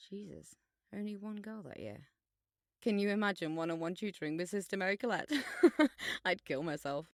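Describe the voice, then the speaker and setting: A woman's voice: on the low side, dry recording, studio quality. A woman playing a sarcastic, bossy schoolgirl with a flippant, theatrical way of talking in an audio drama. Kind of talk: scripted audio drama dialogue.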